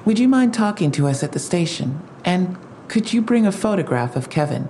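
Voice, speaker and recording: An actress playing a courteous, steady police detective, asking questions politely and gently in an audio drama. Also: female voice, lowish voice, moderate pace, a little hiss or hum. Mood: calm, careful. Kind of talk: full-cast audio drama acting.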